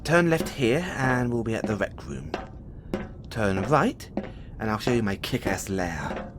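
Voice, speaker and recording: A man's voice: fairly low pitch, big pitch swings, measured pace, background noise. A man voicing a playful, flirtatious male space demon who teases the listener in an audio roleplay. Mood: teasing, conspiratorial. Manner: playful, teasing, giggles often.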